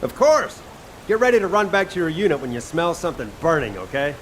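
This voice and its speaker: An eager young video game fighter with a cook's passion. His delivery swings freely in pitch, casual and playful. Clear noise and game ambience sit behind the voice. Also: very animated, brisk, fairly bright tone, poor audio. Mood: cocky, playful. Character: eager, easygoing young male fighter who loves cooking.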